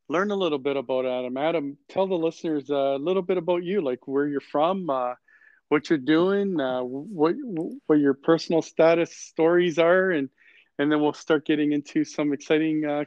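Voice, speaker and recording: A man hosting a podcast conversationally, effusive and halting as he repeats his phrases. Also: on the high side, no room sound, muffled recording. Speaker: podcast host.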